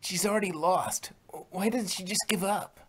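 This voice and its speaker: A grave, knowing pony healer who explains things slowly and seriously, read by a man in his own slightly husky voice. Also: high voice, fairly bright tone.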